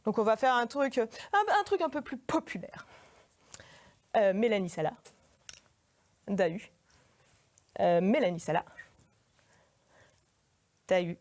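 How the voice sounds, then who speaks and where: A woman's voice: mid-range voice, slow pace, long pauses, darkish tone. A woman giving a humorous autobiographical stage monologue in an informal, hesitant, self-deprecating way. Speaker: artist giving a performance lecture. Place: in front of a live audience.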